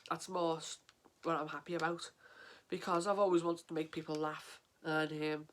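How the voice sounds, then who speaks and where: An English man vlogging about mental health, talking in an earnest, halting way with lots of fillers and restarts, sniffly and stuffed up from a head cold. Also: a little high, level tone, a bit bright, a little hiss or hum. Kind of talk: casual to-camera vlog.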